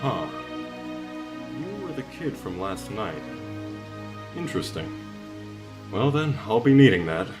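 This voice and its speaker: Low, menacing man as a smug hypnotist villain. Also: very animated, slow, pauses often, over loud music. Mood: smug, cold. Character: cold, smug male hypnotist supervillain, menacing and calculating. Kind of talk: superhero audio drama acting.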